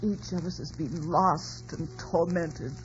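A woman's voice: lowish voice, slow pace. An actress playing a tender, sorrowful woman in a radio melodrama, earnest and theatrical. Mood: yearning. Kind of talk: 1950s radio drama acting. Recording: dull old radio broadcast, music behind.

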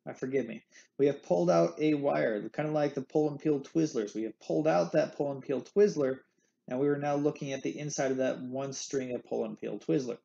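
A man with a gravelly, mellow voice talks through lecture slides in a playful, jokey way, full of candy analogies. The sound is a bit dull and lo-fi, like a computer mic. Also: medium pitch, a little fast. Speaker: anatomy instructor. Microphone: screen recording with webcam.

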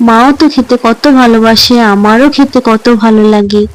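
A woman acts out a poor little balloon seller in a cartoon. Her voice is raised and wistful, quiet in temper, recorded dry and close. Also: on the high side, steady tone, moderate pace, no room sound, lightly acted. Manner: wistful, exclaiming softly to herself.

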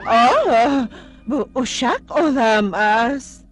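A woman playing a genteel, excitable society lady in a dubbed cartoon, with a dramatic, gushing manner. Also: fairly high pitch, drawn-out words, faint music behind. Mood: alarmed.

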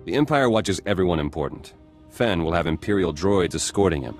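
A bitter rebel fighter for a game, low voice, terse and grim, music underneath. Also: male voice, lively intonation, brisk. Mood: wary. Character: hard, bitter male resistance fighter. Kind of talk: video game voice acting. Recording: music playing behind the voice.

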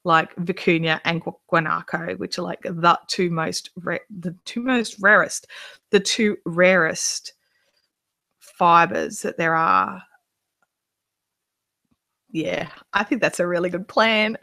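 A woman's voice: fairly low pitch, steady tone, slow, gravelly, long pauses, bright, forceful tone. A woman in her thirties, a yarn dyer and vlogger, chatting on a live show from her living room couch with the camera in front of her. She talks in a rambling way, with lots of ums and restarts, and gushes over yarn. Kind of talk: casual live chat show-and-tell.

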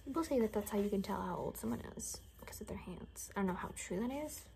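A young woman doing an ASMR roleplay in soft, half-whispered, gossipy rambling chat. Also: mid-range voice, expressive, fast talker, gravelly, heavy background noise. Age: young adult. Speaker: ASMR performer. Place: small bedroom, indoors.

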